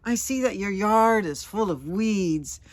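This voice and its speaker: An eager, lonely stray dog from a children's storybook, acted out by a woman reader. He pleads earnestly and hopefully, with emphatic delivery at an unhurried pace. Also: medium pitch, expressive, no room sound, voice pushed up, natural voice. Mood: lonely pleading. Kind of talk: storybook character voice, letters read aloud.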